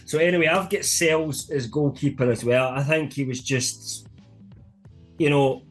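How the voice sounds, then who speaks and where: A man's voice: on the high side, unhurried, faint music behind. A British man hosting a casual football podcast, chatting with friends in an opinionated, bantering way. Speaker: sports podcast host.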